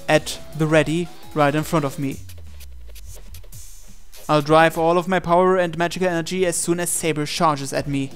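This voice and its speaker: A man reading a visual novel aloud as dramatic first-person narration, with an earnest, intense and theatrical delivery. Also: a little high. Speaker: let's-play reader of a visual novel.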